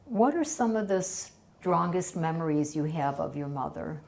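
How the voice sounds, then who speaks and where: A woman interviewing a survivor for an oral history, asking brief, gentle questions. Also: sits low, expressive, a little slow, gravelly.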